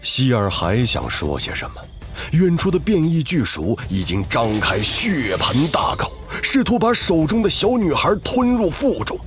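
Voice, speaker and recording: A man's voice: medium pitch, fairly bright tone. A man reading scripted, dramatic story narration.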